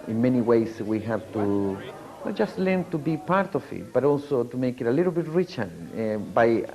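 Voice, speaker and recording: A man's voice: medium pitch, animated, normal speed, darkish tone, soft background music. A man, a community organizer giving a hesitant documentary interview full of fillers and restarts. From Latin America.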